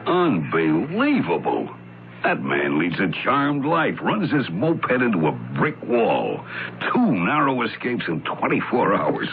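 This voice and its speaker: A tired wry police buddy, growly creaky voice, tinny old radio drama. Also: male voice, lowish voice, huge pitch swings, slow pace, faint music behind, exaggerated voice. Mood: wry, weary. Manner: wry, casual. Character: wry, weary, skeptical police sidekick. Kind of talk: 1970s radio drama acting. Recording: narrowband, hissy old radio broadcast.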